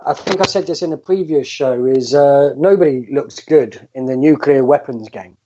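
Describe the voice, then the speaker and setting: Man, hesitant, lots of fillers, mellow dark tone, dull lo-fi sound. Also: medium pitch, normal speed. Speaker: podcast co-host. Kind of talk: casual political discussion.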